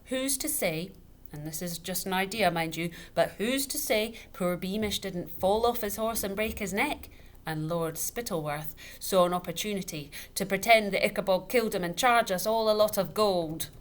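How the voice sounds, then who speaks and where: A woman voicing a stubborn, tipsy father in a children's story, loud, emphatic and scornful, close to shouting. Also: high-pitched, normal speed. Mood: argumentative, insistent. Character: tipsy, stubborn, jeering father arguing loudly. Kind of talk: children's audiobook character voice. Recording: noticeable background noise.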